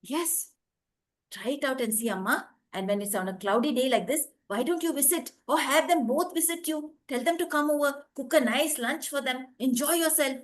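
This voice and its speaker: A woman voicing a kind, patient male priest, giving calm, reassuring advice. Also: high-pitched, moderate pace, close to an everyday voice. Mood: soothing. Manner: gentle, reassuring, advising.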